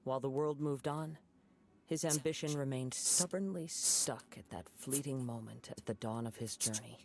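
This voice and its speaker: A young man voicing a gentle wandering samurai in a video game, with a calm, composed and reflective way of talking. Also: mid-range voice, normal speed, vocal fry, natural voice. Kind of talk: game voice acting. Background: game music.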